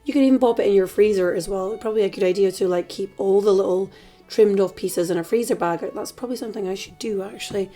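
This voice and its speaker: A Scottish woman hosting a cooking tutorial in a chatty, relaxed way, her voice a little hoarse from a dry throat. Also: medium pitch, fast, soft background music. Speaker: cooking channel host. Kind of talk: casual cooking tutorial voice-over.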